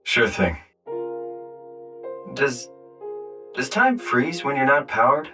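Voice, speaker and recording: A man's voice: medium pitch, sing-song, slow pace, far from the mic, over music. An actor with a North American accent playing a weary, distressed man uprooted into a robot body, in a naturalistic, conversational video game style. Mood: curious, weary. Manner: conversational, swearing, brooding.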